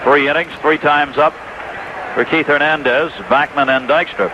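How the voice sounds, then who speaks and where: A man's voice: fairly high pitch, fairly even intonation, moderate pace. An American man giving live play-by-play baseball commentary as a radio announcer. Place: ballpark broadcast booth. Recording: dull, noisy 1980s radio broadcast.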